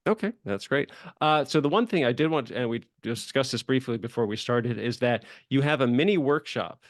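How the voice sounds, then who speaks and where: A man's voice: medium pitch, animated, fairly quick, no echo. Male podcast host, mid-range voice, dry close sound, casual, chatty, loosely rambling delivery. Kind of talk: casual conversational interview hosting.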